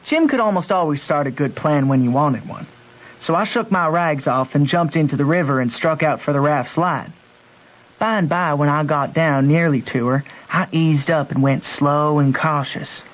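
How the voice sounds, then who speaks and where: A man's voice: on the high side, moderate pace, close to an everyday voice. An actor playing a plainspoken country boy, telling his adventure in folksy, colloquial radio narration. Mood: cautious storytelling. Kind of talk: first-person storytelling in old radio drama. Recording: muffled old radio recording.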